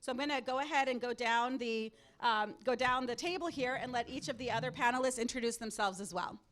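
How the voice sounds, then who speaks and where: A woman in her forties, from Mexico, moderating a panel and addressing a student audience into a microphone in a loud, upbeat, confident voice. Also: a little high, brisk. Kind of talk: public speaking to a student audience. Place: event hall at a panel table. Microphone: handheld or table microphone.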